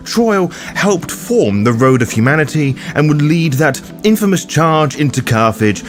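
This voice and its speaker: A man delivering scripted video-essay narration in an emphatic, opinionated manner, as the host of a lore guide. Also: mid-range voice.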